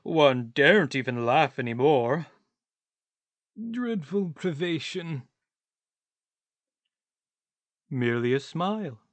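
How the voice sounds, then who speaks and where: Man playing a slow, pedantic, brooding tramp. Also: fairly high pitch, lively intonation, no echo. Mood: rueful. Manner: emphatic, ponderous, insistent. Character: brooding, pedantic, exasperated tramp who philosophizes. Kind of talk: radio play dialogue.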